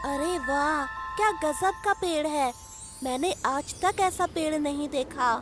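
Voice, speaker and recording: बहुत ऊँची आवाज़ वाला नन्हा शावक. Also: female voice, high-pitched, unhurried. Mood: bold, wide-eyed. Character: brave, excitable young cub adventurer. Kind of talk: Hindi-dubbed children's cartoon acting. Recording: loud music under the voice.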